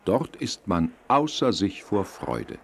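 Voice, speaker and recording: A man's voice: on the low side, huge pitch swings, slow pace, creaky, background noise. A man narrating a children's audio play as its storyteller. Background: faint music.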